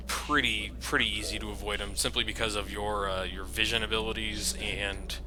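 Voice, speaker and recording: A man's voice: fairly low pitch, level tone, moderate pace, background noise. A man giving casual, informal commentary on a video game. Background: game music and sound effects.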